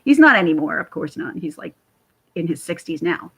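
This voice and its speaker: A woman with a raspy voice chatting casually to camera on her vlog, rambling and laughing often. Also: on the low side, very animated, normal speed, a bit bright. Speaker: YouTube commentator.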